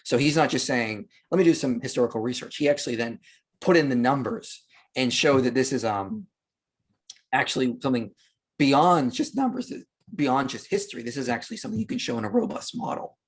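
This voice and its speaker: A man's voice: medium pitch, expressive, brisk, slightly rough. A man speaking as a podcast guest, explaining history and religion in a conversational way and repeating phrases for emphasis.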